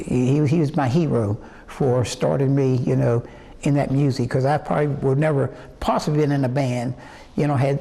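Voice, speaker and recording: A man in his eighties from West Virginia, a singer telling his life story in an interview in a halting, rambling way full of fillers and restarts. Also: on the high side, creaky, mellow. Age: 80s. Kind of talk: reminiscing interview answers.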